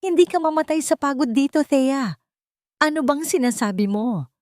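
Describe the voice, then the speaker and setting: A woman's voice: high voice, expressive, moderate pace, a little mellow, dry recording, clean studio sound, pitched above the performer's own voice. A woman voicing a dismissive, irritated husband and proud provider, defensive and scolding, in an audio love story. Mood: angry, scornful.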